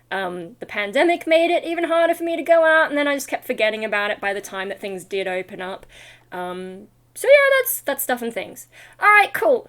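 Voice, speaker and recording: A tired-sounding woman chatting in a casual, rambling vlog style, scattered and self-deprecating. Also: fairly high pitch. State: tired, not fully awake. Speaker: vlogger and metal vocalist.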